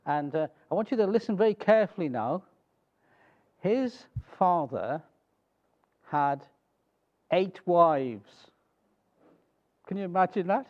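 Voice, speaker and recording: A middle-aged man with a Welsh accent speaking as a preacher, telling a story to a congregation in a halting, conversational way with little check-in questions. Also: sits high, animated, lo-fi sound. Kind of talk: informal sermon telling a missionary biography to a congregation.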